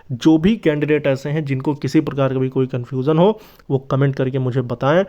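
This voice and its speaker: A man's voice: medium pitch, a little mellow, dull audio. A man giving an informal explainer to viewers as an online presenter, addressing them in a familiar, brotherly way with frequent check-in tags. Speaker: YouTube presenter of exam updates.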